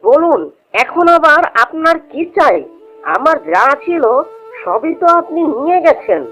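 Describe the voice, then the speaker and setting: A woman's voice: sits high, big pitch swings, unhurried, fairly bright tone, exaggerated voice. A woman voicing a sly, hardworking jackal shrimp seller in a Bengali cartoon, with a sing-song vendor's delivery. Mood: calm, righteous. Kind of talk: Bengali cartoon character dialogue. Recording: dull lo-fi audio with music behind.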